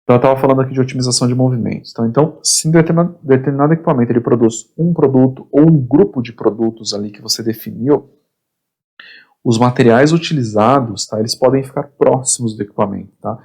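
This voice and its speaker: A man teaching an online course lecture, his voice husky and going hoarse. He explains in short bursts, repeats himself and keeps checking that the listener is following. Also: medium pitch, quick pace, a little mellow. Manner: explains in short phrases, constant "tá?" check-ins, repeats words. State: getting hoarse. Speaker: instructor.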